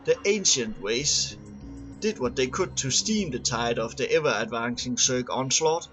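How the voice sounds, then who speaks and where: A man's voice: medium pitch, expressive, a little slow. A man reading scripted lore aloud as an amateur narrator, sometimes stumbling and repeating words. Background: music under the voice.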